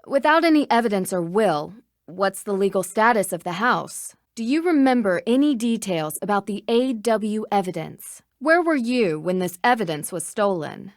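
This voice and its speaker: An actress playing a curious, polite young amateur detective in a mystery game, composed and articulate. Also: female voice, medium pitch, big pitch swings, normal speed, hardly any pauses, dry recording, clean studio sound. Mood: calm, probing. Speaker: voice actor. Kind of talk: scripted mystery game dialogue.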